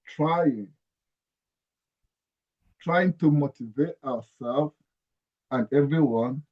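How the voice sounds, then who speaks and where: A man with a Nigerian accent, a guest speaking earnestly and emphatically on a video call, often repeating his phrases. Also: a little high. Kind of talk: conversational interview. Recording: dull lo-fi call audio.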